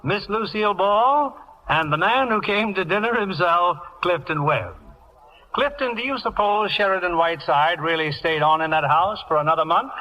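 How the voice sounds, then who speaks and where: A man's voice: sits high, moderate pace. A man hosting an old radio show, delivering scripted banter. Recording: muffled old radio broadcast, faint hiss.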